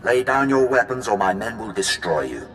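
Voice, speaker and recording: A cold Imperial officer, a game villain. His low voice is imperious and threatening, carried on long reverb over faint music. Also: big pitch swings, moderate pace, fairly bright tone. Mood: cold threat. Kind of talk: scripted video game acting.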